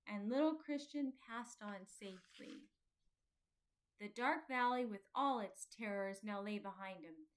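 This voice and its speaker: A woman reading a children's storybook aloud as an expressive scripted narration. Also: fairly high pitch. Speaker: children's audiobook reader.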